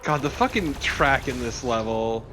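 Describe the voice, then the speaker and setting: A man's voice: medium pitch, expressive, a little slow, fairly bright tone, faint music behind. A man co-commentating on a game, wisecracking and swearing in casual banter. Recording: faint background noise. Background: game sound.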